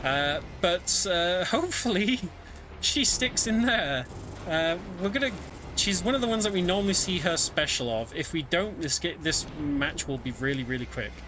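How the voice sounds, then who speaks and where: A man's voice: high voice, lively intonation, normal speed, noisy background. A man giving casual live speedrun commentary in a chatty, hesitant way. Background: video game music.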